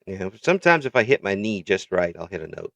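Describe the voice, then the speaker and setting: Joking low-voiced man hosting. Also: very animated, a little fast, vocal fry, a bit bright, dry recording, muffled recording. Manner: joking, self-mocking, laughs often. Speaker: interview host. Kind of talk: casual interview chat.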